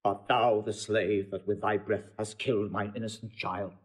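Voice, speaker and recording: A man's voice: on the low side, level tone, moderate pace, husky. An actor playing a dignified, aggrieved old nobleman in classical Shakespearean audio drama. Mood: bitterly scornful. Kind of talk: classical Shakespearean radio acting.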